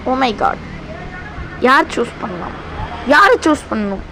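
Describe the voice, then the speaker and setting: Woman, slightly high voice, casual vlog chat, self-deprecating, video creator, noisy recording. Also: sing-song, slow. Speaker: video creator trying out gamers' intro lines. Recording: clearly noisy, background hum.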